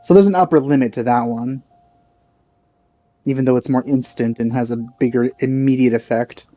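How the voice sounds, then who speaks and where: A man hosting a let's play, giving casual game commentary in a musing, halting way. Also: medium pitch, steady tone, warm tone, no echo. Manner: musing, hesitant, halting phrasing. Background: game music.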